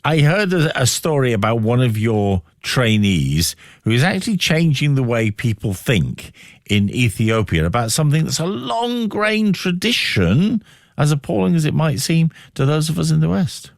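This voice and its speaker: A man hosting a radio interview, speaking in deliberate, building phrases with rhetorical questions and repetition. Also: on the high side, sing-song, creaky, no room sound, clean studio sound. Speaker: radio host.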